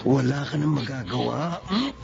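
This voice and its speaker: A man's voice: medium pitch, lively intonation, moderate pace, gravelly. A man playing a lecherous, menacing rich Filipino boss, smug and threatening, in radio drama style. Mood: smug menace. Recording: dull audio with background noise.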